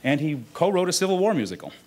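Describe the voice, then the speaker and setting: A man's voice: on the high side, sing-song, fast, darkish tone. A man presenting a live performance at a convention with an informal stage introduction. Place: live in front of a convention audience.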